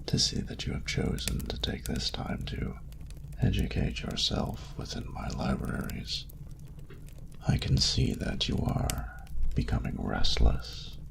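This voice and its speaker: Very deep, gravelly, seductive dragon. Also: male voice, noisy background, heavily acted. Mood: tender, regretful. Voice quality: very deep, breathy, gravelly murmur. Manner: slow, formal, archaic, tender phrasing. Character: ancient male dragon ruler, courtly, seductive, doting. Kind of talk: intimate romantic audio roleplay, ASMR.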